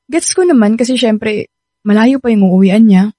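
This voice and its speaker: A woman playing a hesitant, soft-hearted young woman in a Filipino radio romance, speaking with a Filipino accent. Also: fairly high pitch, lively intonation, normal speed, natural voice. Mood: modest, resigned. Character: young woman torn between boyfriend and friend. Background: background music.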